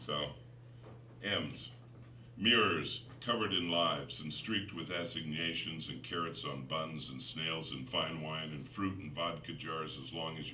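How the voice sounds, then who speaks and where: Man, American accent, deep voice, measured dramatic poetry reading, roomy distant recording. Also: sharp tone. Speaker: poet reading own work. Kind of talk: live poetry reading with spoken introductions. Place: live reading in a room before an audience. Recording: roomy, distant mic, faint noise.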